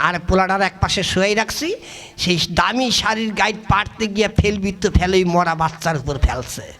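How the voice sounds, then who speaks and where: A man's voice: high voice, a little fast, fairly bright tone. A man voicing a greedy, scheming husband in a comic puppet play, blustering theatrically in colloquial speech. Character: scheming, greedy, foul-mouthed husband puppet, a small-time cheat.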